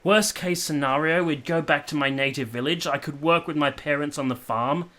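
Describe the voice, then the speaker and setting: A man's voice: a little high, moderate pace, sharp tone. An actor playing an ardent, stubborn young man in love in a period radio drama, earnest and pleading with formal phrasing. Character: ardent, stubborn young male lover from a village.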